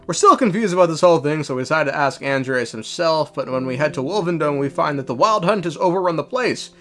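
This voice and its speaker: A man with a slightly high voice delivers casual video commentary. His intonation swings in a lively way, and his manner is irreverent and sardonic. Faint music plays behind him. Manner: irreverent, sweary, sardonic asides. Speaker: video creator recapping a game story.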